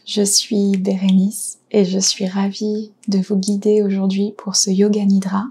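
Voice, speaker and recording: A woman guiding a yoga nidra relaxation, speaking softly and soothingly with pauses between short phrases. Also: medium pitch, completely flat delivery. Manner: soft, breathy, soothing delivery with pauses. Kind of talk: guided relaxation meditation.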